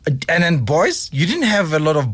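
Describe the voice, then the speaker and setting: A woman with a South African accent hosting a casual radio interview, asking halting questions and often interjecting. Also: low voice, big pitch swings, no room sound. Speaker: radio host interviewing a guest.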